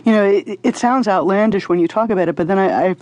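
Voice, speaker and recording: A woman conducts an interview in a conversational style, with a medium-pitched voice. The recording is dry, with very little room sound around her. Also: quick pace, darkish tone, dry recording.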